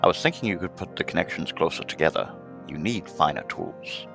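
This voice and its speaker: A man plays a mild, helpful craftsman in an audio drama. His low voice swings widely in pitch, advising gently, with music clearly underneath. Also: expressive, fairly quick. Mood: curious. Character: mild, reasonable male craftsman, helpful and curious. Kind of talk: amateur audio drama acting.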